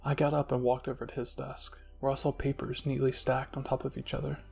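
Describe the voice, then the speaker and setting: A man narrating a scripted fan fiction story in a soft ASMR style as a channel host, with music behind him. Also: mid-range voice, flat delivery, fairly quick, creaky.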